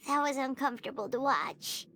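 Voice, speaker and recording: An actress voicing a small, childlike fairy companion in a video game, chatty, frank and squeaky. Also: female voice, sharp tone, a little hiss or hum. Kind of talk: video game character acting.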